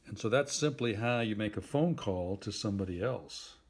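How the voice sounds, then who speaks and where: A man giving a simple tutorial in a plain, deliberate, instructive way, like someone patiently walking a learner through each step. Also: fairly low pitch, lively intonation, a little hiss or hum. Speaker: instructor helping a phone user.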